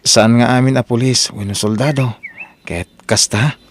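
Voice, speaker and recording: A man's voice: mid-range voice, fairly even intonation, normal speed, a little hiss or hum, natural voice. A man with an Ilocano accent playing a devoted, reassuring young boyfriend in an audio drama. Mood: tender, reassuring. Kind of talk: Ilocano radio-style audio drama acting.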